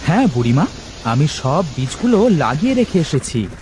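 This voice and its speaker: Man playing an animated, earnest young farmer. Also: a little high, normal speed, slight background noise. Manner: animated, exclamatory. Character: simple, earnest young village farmer husband.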